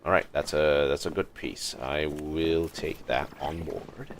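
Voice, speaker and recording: Very deep-voiced man streaming a game. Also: fairly even intonation, measured pace. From Malaysia. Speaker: livestream host playing a video game. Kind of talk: casual gaming commentary. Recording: clearly noisy mic with clicks.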